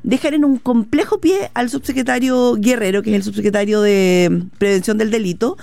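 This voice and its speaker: Gravelly Chilean woman co-hosting the news. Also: a little high, normal speed, mellow, no echo. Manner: explanatory, with many fillers. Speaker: news co-host. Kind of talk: radio news chat.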